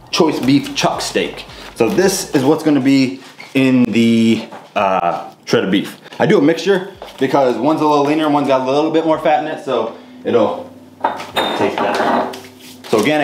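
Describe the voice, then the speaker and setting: A man's voice: medium pitch, a little mellow. A young man chatting casually to camera in his home kitchen, showing off groceries with a loose, rambling delivery full of "uh" and "like". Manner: loose and rambling, many fillers like "uh" and "like". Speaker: fitness vlogger. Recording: roomy kitchen with clear background noise.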